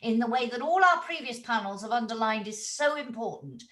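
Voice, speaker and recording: A woman's voice: a little high, animated, a little fast, a bit bright. A woman moderating a conference panel, fluent and polished as she introduces speakers.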